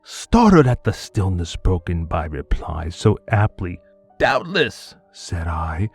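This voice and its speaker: A man with a lowish voice reads a poem with deliberate, unhurried pacing. His delivery is theatrical, with pitch that leaps and falls widely, dropping to hushed murmurs and rising to anguished cries. Soft music plays faintly underneath. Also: sing-song, slightly rough. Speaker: voice actor. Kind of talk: dramatic poetry reading. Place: home studio.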